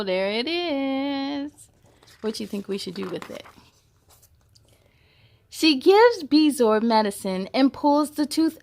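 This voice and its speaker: A woman reading a picture book aloud for children, expressively, addressing young listeners with questions and exclamations. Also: on the high side. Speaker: host of children's read-aloud story time.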